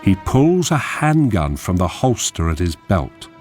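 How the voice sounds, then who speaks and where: An actor as a measured, deliberate narrator reading screen directions in a radio drama. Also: male voice, low voice, very animated. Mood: measured, suspenseful. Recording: music behind the narration.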